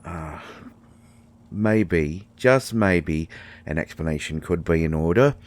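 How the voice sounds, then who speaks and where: A man playing a slow, deep-voiced grumpy fairy healer. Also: animated. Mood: wry, sarcastic. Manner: hesitant, exasperated, sighing, wryly sarcastic. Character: grumpy, secretive male fairy healer tending a vampire. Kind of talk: intimate roleplay audio acting.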